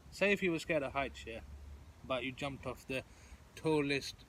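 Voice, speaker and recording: Man, bright sharp tone, emphatic, casual talk, faint street noise. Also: medium pitch, lively intonation, moderate pace. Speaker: stammering support group member. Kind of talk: casual conversation.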